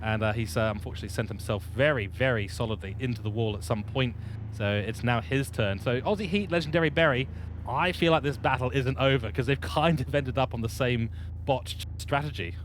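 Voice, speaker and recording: Male lead race commentator, lively and playful, mid-pitched, noisy background. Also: a little fast. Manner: lively, self-deprecating, playful asides. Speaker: sim racing lead commentator. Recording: steady background noise.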